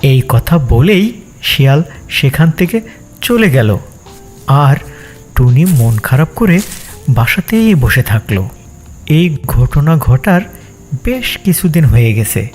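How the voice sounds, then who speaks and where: A man's voice: medium pitch, very animated, slow, pauses often, a little mellow, faint music behind. A man narrating a scripted children's fable for a cartoon as its storyteller.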